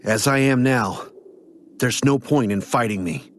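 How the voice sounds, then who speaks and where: A man voicing an earnest, protective young hero in an anime game, serious, measured and firm. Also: medium pitch, slight background noise, close to an everyday voice. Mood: resolute. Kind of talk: dramatic anime game voice acting. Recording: music behind the voice.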